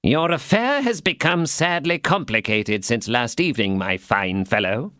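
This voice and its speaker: A man's voice: medium pitch, big pitch swings, pushed and bright, dry recording, studio quality. A man voicing a stern, officious police commissary interrogating a prisoner. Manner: interrogating, officious, slow and emphatic.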